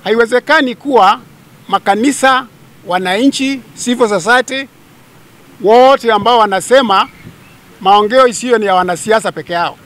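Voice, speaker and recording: A man's voice: sits high, normal speed, a bit bright. A man in his fifties with a Kenyan accent giving a press statement outdoors into press microphones. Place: outdoors among a crowd of people. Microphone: cluster of handheld press microphones. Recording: light background noise, outdoors.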